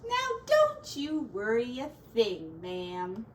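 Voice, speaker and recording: A woman's voice: fairly high pitch, wildly swooping pitch, slow, exaggerated voice. A woman reading a storybook, voicing a folksy male sheriff who is placating and wryly skeptical. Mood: reassuring. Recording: distant mic with faint background noise.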